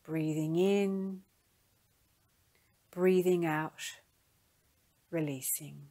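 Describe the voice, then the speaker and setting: A woman leading a guided meditation in a gentle, soothing, unhurried manner, speaking in soft phrases. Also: low voice, steady tone.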